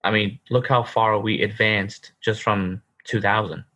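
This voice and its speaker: A man's voice: lowish voice, fairly even intonation, measured pace, sharp tone. A man in his thirties co-hosting a casual podcast, emphatic and calling his co-host bro. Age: 30s. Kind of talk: casual chat.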